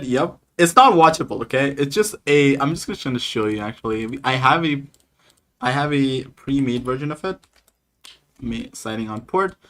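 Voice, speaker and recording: A man's voice: mid-range voice, fairly bright tone. Casual man talking through code. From Nepal. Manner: casual, talks through each step while typing. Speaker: coding streamer. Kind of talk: live coding stream, dictating code and reading chat.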